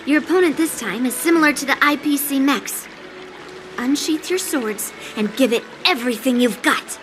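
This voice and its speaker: A woman's voice: high voice, background noise, close to an everyday voice. A woman voicing an earnest, composed young girl who teaches swordplay in an anime-style video game. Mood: earnest, instructive. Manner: measured, instructive, earnest.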